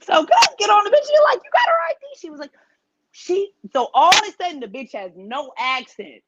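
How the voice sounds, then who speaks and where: Animated high-voiced woman telling a story. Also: fairly quick, lo-fi sound, heavy background noise. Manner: animated, profane, acts out quoted voices. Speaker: guest. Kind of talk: casual storytelling chat.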